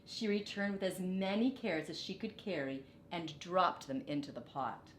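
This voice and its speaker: A woman reading a children's story aloud with expressive, dramatic phrasing. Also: normal speed. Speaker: storybook reader. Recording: echoey room, faint low rumble.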